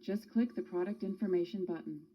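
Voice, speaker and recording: A woman's voice: lowish voice, brisk, husky, muffled recording. A woman reading scripted promotional narration in an upbeat, polished way. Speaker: narrator of a software demo. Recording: archival clip with music behind. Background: music.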